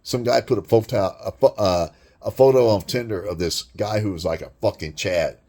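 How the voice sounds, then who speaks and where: Gravelly deep-voiced man ranting. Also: moderate pace, a little mellow. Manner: blunt and crude, heavy swearing, rambling restarts. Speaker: vlogger. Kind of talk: profane monologue rant.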